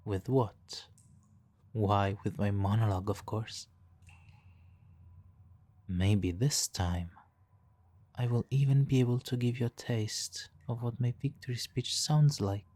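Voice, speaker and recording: A man playing a suave, teasing, hypnotically controlling supervillain in a soft, intimate ASMR roleplay, condescending and purring, breathy in places. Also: lowish voice, fairly even intonation. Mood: soothing, commanding. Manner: condescending, purring, drawn-out pauses, soft chuckles.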